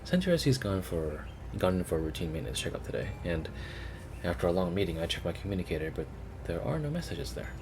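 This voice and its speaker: A man reading game story text aloud as expressive first-person narration. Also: low voice, fast talker, background noise. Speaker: video creator reading game dialogue aloud. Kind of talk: expressive read-along narration.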